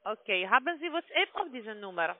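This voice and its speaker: Very high-pitched male phone agent, foreign accent. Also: big pitch swings. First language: not German. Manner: halting, often asks for repetition. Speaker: call-centre sales agent. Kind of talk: scripted phone sales pitch. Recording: narrow tinny phone line.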